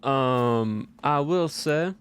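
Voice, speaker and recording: An easygoing Southern cowboy played by a man chats in a mid-pitched, folksy drawl that blends several Southern US accents. He is courteous and casual, like a game streamer in character, with clear background noise and clicks. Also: expressive, very slow. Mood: easygoing, chatty. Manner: folksy, courteous, uses y'all and ain't. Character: folksy male Southern cowboy gunpowder-factory owner, easygoing. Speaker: livestreamer playing a game. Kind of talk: casual in-character livestream chat.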